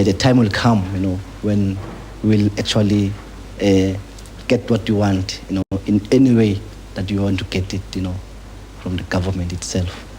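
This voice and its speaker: Young South African man, low soft tone, noisy. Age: young adult. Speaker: student activist interviewee. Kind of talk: documentary interview. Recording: noisy 1980s newsreel location sound.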